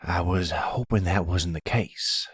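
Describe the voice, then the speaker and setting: A man playing a remorseful, tender older grandfather, measured and soothing, in an ASMR roleplay audio drama. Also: sits low, fairly bright tone, husky, no room sound, clean studio sound, full character voice. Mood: regretful, tender.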